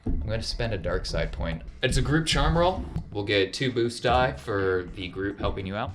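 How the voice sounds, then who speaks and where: A man's voice: on the low side, expressive, normal speed, noisy background. A man working as game master, narrating casually and repeating phrases for emphasis.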